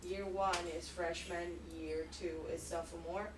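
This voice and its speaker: A woman teaching an English conversation class, talking slowly and clearly. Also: fairly low pitch, little pitch movement, background noise. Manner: slow, clear teacher talk. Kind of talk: classroom instruction. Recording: echoey classroom from far off.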